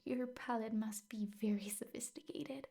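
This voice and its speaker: Casting: actress as obsessive yandere woman teacher for ASMR roleplay; soft, dark-toned, very unhurried, doting and coaxing. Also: on the high side, steady tone. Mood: probing, wounded. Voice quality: soft, breathy, often whispering. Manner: slow, doting, coaxing with hesitant pauses. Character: possessive, lovesick yandere woman teacher coaxing her captive.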